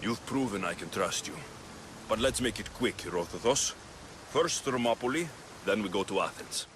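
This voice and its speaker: A man's voice: on the low side, lively intonation, normal speed, noisy background, natural voice. A man playing a headstrong young Greek warrior in a video game, blunt, intense and protective of his family. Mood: determined. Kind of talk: video game cinematic acting.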